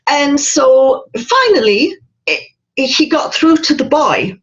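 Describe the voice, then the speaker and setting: A woman's voice: sits high, takes it slowly, lo-fi sound. A woman hosting an interview, talking emphatically and telling stories with feeling. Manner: emphatic, animated storytelling. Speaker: podcast host. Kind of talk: casual interview chat.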